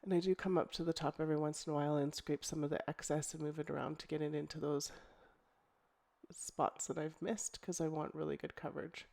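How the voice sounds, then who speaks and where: Croaky woman chatting through a craft tutorial. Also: low-pitched, a little fast. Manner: relaxed, chatty, thinking aloud with ums and restarts. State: croaky from a cold. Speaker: scrapbooking crafter. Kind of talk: casual crafting tutorial voice-over.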